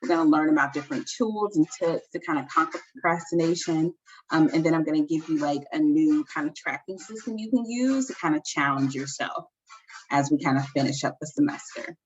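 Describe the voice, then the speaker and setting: A woman hosts a casual online workshop, talking in a chatty, upbeat way full of ums and likes. Her voice sits a little low, and the video-call audio is slightly dull with faint background hiss. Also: fairly quick. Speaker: workshop host.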